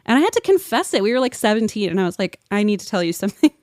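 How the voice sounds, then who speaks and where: A woman's voice: mid-range voice, very animated, rapid-fire, darkish tone, no room sound, studio quality. A woman in her thirties telling comic stories among friends in a dramatic, self-mocking manner. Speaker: podcast guest and storyteller.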